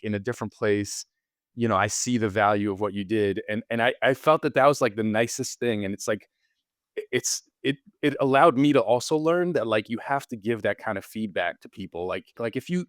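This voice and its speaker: Low-voiced man, rambling with restarts. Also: fairly bright tone, no room sound, studio quality. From Israel. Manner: rambling, frequent restarts and repeated words. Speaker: podcast guest, surgeon. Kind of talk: casual interview conversation.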